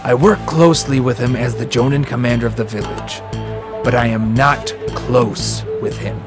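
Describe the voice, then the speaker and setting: A man's voice: mid-range voice, steady tone, normal speed, natural voice. A man acting a shrewd, cynical ninja commander in an anime-style audio drama, speaking bluntly and matter-of-factly. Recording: music behind the voice.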